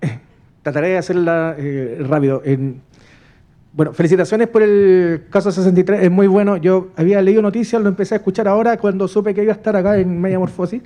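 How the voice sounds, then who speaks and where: A man's voice: high voice, steady tone, quick pace, warm tone. A man in the audience at a live event, putting an informal question to a panel. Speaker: audience member asking a question.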